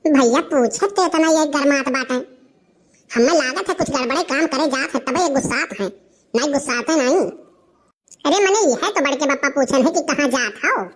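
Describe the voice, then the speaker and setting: A woman's voice: sits high, moderate pace, close to an everyday voice. A woman voicing a cheeky, nosy village child in a comedy cartoon, pestering with questions in an Awadhi accent. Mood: nosy, teasing. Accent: Awadhi, eastern Uttar Pradesh.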